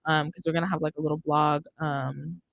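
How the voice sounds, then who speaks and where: A woman's voice: low-pitched, little pitch movement, fairly quick, creaky, lo-fi sound, a little hiss or hum. A young American woman hosting a casual podcast chat from a studio, close on the microphone. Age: young adult. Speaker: radio show host. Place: indoor studio. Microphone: close studio microphone on boom arm.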